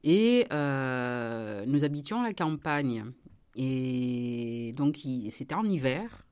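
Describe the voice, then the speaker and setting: Low-voiced woman. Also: expressive, slow, smooth. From southern France. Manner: hesitant, many fillers and restarts, warm laughs. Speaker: member of parliament, interview guest. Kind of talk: relaxed personal interview.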